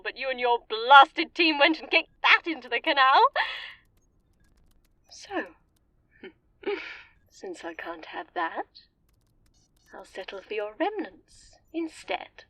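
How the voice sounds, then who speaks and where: An actress playing a scheming, gloating villainess in an audio drama, heard over the phone. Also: female voice, high-pitched, sing-song, slow pace, long pauses, pushed and bright, no echo, exaggerated voice. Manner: mocking, theatrical, gloating. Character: scheming villainous woman calling herself Empress, gloating.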